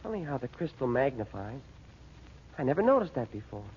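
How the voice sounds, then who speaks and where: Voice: mid-range voice, very animated, fairly quick, a little mellow, slight background noise, lightly acted. An actor playing an impatient, bossy teenage boy in an old radio drama, with slangy, exasperated delivery. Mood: eager, hushed. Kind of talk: 1930s-40s radio drama acting. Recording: muffled, husky old radio recording.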